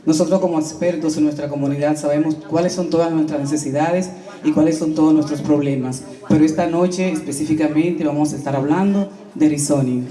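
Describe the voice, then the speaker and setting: Guy moderating a community meeting, talks quick, very mellow dark tone, roomy and far from mic. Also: a little high, steady tone. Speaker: meeting moderator, coalition member. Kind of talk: addressing a public meeting. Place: large indoor community hall. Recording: echoey hall, distant microphone.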